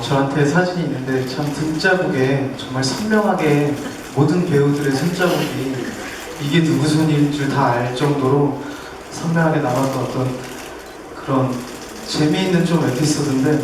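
A man's voice: medium pitch, moderate pace. A young man, a stage actor, telling an anecdote at a press call. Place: theatre stage, recorded from the audience. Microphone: handheld mic through PA, distant camera. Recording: very echoey, distant, noisy.

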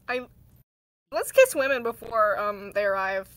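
A woman improvising a goofy cartoon fandub, rambling and halting as she goes. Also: medium pitch, expressive, fairly bright tone. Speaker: amateur fandub voice performer. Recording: noisy amateur mic with clicks.

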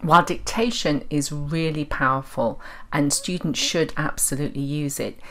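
Low-voiced woman presenting a tutorial. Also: normal speed, a bit bright. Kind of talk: explanatory software tutorial voice-over.

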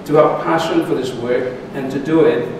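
A middle-aged man, a lecturer taking audience questions in measured speech with pauses and restarts. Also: medium pitch. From Solomon Islands. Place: large lecture theatre. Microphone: distant room mic. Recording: echoey hall from far off, background noise.

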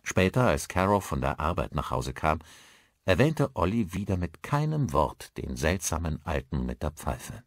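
Expressive male audiobook narrator, very animated. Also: sits low, moderate pace, no room sound, studio quality. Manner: expressive storytelling.

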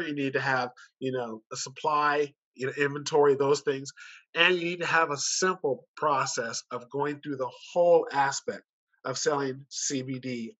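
A man's voice: fairly high pitch, pushed and bright. A man giving an informal, persuasive sales presentation at an online conference, conversational and fond of rhetorical questions, speaking over a video call. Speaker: company director giving a sales pitch. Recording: dull, muffled video call audio.

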